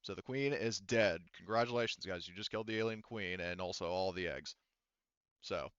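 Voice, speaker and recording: A man's voice: deep voice, moderate pace, fairly bright tone, clear, resonant tone, dry recording, lo-fi sound. A man narrating a tabletop roleplaying game as game master, casual and often profane.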